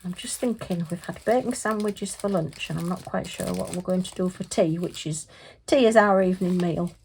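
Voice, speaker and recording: Brief middle-aged woman, a little hoarse, rambling crafter talking to her viewers over a faint hiss. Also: lowish voice, moderate pace, darkish tone, poor audio. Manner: chatty, rambling, with frequent fillers and light laughs. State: slightly hoarse, losing her voice. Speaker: craft vlogger. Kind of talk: casual chat while crafting. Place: home craft room, at a desk. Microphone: overhead camera above the desk, hands only.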